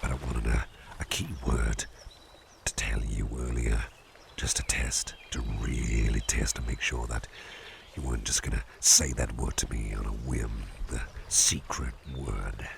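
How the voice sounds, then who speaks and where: Male actor as calm businessman boss for ASMR roleplay: extremely low, unhurried, playful and soft, with clear ambient noise behind. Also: full character voice. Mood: curious, playful. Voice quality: very deep, gravelly. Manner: soft, soothing, unhurried, often dropping near a whisper. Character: calm, playful businessman boss hypnotizing his employee with a pendant. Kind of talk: slow, intimate ASMR roleplay.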